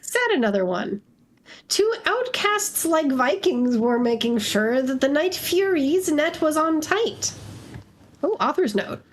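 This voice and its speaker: High-pitched, lively woman narrator. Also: slow. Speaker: narrator in a group fanfiction reading. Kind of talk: live story reading with casual asides.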